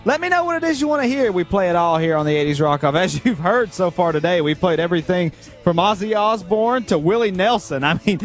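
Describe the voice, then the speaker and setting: A man with an American accent talking on air as a radio host in a polished, conversational way. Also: a little high.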